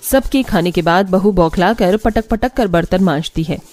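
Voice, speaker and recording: A woman's voice: on the low side, moderate pace, darkish tone. A woman reading scripted narration for a cartoon family story.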